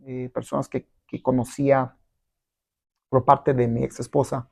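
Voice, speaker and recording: A man's voice: mid-range voice, level tone, a little slow, vocal fry, darkish tone, no room sound, studio quality. A middle-aged man whose first language is not Spanish, telling his story in a casual interview, rambling with many fillers and tag questions. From abroad, not Mexico. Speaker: guest entrepreneur.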